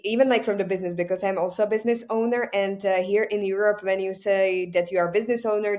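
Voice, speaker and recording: A woman podcast host with a Czech accent and a mid-range voice. She talks in a halting, conversational way, filling gaps with "uh" as she looks for words in her second language. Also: mid-range voice, a little mellow. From Czech Republic. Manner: hesitant, many "uh" fillers, searching for words. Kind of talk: casual interview chat.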